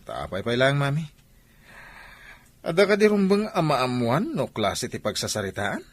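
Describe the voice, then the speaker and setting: This is a grumbling, guarded boss played by a man in a radio drama. His voice sits at a middle pitch and carries an Ilocano accent. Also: wildly swooping pitch, normal speed, dry recording. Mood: flustered, evasive.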